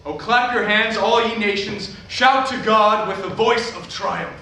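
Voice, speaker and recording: A man's voice: sits high, unhurried, pushed and bright, exaggerated voice. A man playing a showy middle-aged evangelist preacher, delivering a theatrical, emphatic sermon with a salesman's flair. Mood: rousing, salesy. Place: staged reading in a hall, recorded from afar. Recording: distant echoey hall, noisy room recording.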